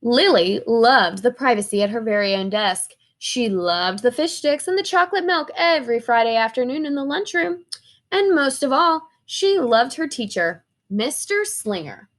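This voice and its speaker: A woman reading a children's story aloud with expressive, emphatic phrasing. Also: fairly high pitch, moderate pace.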